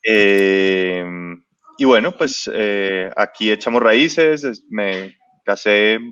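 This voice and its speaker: Low-voiced man, halting storytelling. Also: fairly bright tone, clean tone, lo-fi sound. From born in Spain, raised in Ecuador and Colombia. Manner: hesitant, many fillers and restarts. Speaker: guest entrepreneur. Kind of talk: casual interview storytelling.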